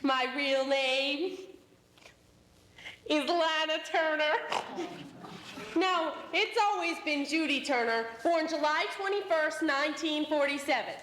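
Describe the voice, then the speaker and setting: A woman's voice: high voice, fairly bright tone, a little hiss or hum. A young woman playing a prim, hesitant dancer at an audition on a school stage. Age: young adult. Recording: echoey hall reverb.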